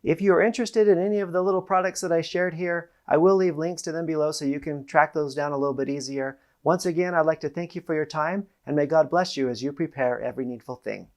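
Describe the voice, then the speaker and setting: A man's voice: on the high side, steady tone, quick pace, hardly any pauses. A middle-aged American man hosting a product demonstration to camera, explaining things in a plain-spoken way. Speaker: host. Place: small indoor room with panelled wall. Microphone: lapel mic.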